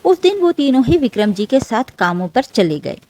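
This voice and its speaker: A woman's voice: a little high, big pitch swings, normal speed, mellow, a little hiss or hum. A woman reading a scripted, emotional family story as a dramatic narration.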